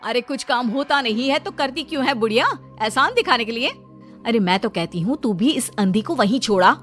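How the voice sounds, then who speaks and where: Woman as a high-voiced, sneering, callous friend. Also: animated, a little fast, fairly bright tone. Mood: scornful. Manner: scornful, blunt. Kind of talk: Hindi cartoon story dubbing. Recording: music behind the voice.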